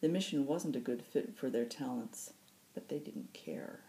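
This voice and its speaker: A woman's voice: very deep voice, moderate pace, vocal fry, dark tone, whispered, rough recording. A woman hosting a story reading, narrating fiction excerpts in an audiobook style. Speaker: host reading book excerpts.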